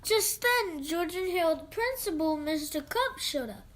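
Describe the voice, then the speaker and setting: A child reading a children's book aloud expressively, with halting delivery and stumbles over words. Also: very high-pitched, lively intonation, a bit bright, a little hiss or hum.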